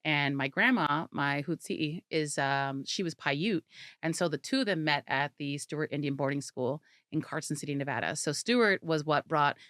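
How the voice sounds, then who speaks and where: A woman's voice: sits low, normal speed, fairly bright tone, dry recording, clean studio sound. A woman comedian from the California Bay Area, chatting animatedly in an interview and laughing often. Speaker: comedian guest. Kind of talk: casual interview chat.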